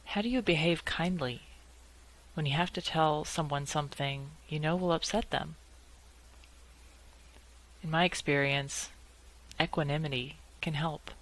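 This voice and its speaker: Very slow-paced woman guiding meditation. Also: low-pitched, low-quality recording. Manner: calm, measured delivery with long pauses. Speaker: meditation guide. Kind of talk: guided meditation.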